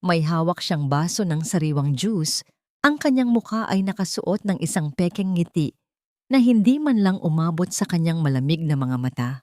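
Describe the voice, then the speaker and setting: Low-voiced woman narrating a drama. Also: expressive, moderate pace, warm tone, no echo, clean studio sound. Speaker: storyteller. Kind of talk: scripted dramatic narration of audio story.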